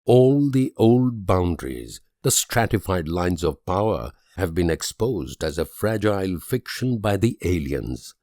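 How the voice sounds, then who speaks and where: An actor playing an idealistic, world-weary diplomat, delivering grave, deliberate speeches and letters in a strategy game. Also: male voice, deep voice, very animated, a little mellow, no room sound, studio quality. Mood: solemn appeal.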